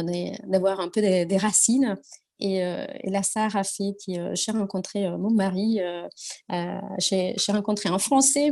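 An Argentine woman in her forties speaks French with a clear accent. Her voice is mellow and warm, at an average pitch. She talks in a halting way, pausing often to find her words. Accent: Argentine Spanish. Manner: hesitant, many fillers and restarts while searching for French words. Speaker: guest entrepreneur. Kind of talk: casual interview talk.

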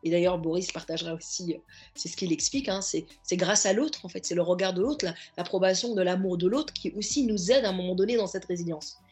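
A woman's voice: fairly low pitch, quick pace, a little mellow, faint music behind. A woman therapist and coach, a podcast guest, speaking earnestly in reflective interview talk and often circling back to find her words. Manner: earnest, searching for words, stacking restarts.

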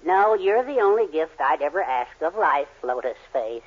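A meek, henpecked middle-aged husband played by a man in a 1940s radio comedy. He speaks hesitantly in a fairly high voice. The recording is muffled and very noisy. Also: lively intonation, moderate pace, close to an everyday voice. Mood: timid, doting. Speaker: sitcom actor.

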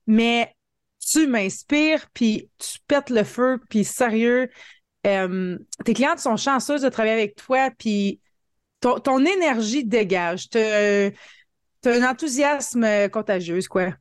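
A woman's voice: fairly high pitch, slow, clean tone, dry recording, clean studio sound. A woman in her thirties with a Franco-Ontarian French accent, telling her story casually and colloquially as a guest, dropping in English words. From northern Ontario, Canada. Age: 30s. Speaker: podcast guest, marketing coach.

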